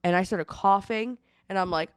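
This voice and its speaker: A woman in her thirties, congested and losing her voice, chatting at home and telling a lively story over a video call. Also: mid-range voice, moderate pace, clean tone, no echo, studio quality. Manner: chatty storytelling, animated retelling. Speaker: co-host. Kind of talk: casual chat. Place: at home in Seattle.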